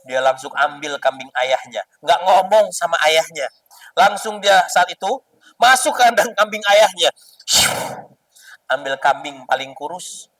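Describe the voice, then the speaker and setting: A man's voice: fairly high pitch, lively intonation, measured pace, a bit bright, far from the mic, rough recording. A man telling stories to children as an Islamic storyteller, teasing and explaining as he goes.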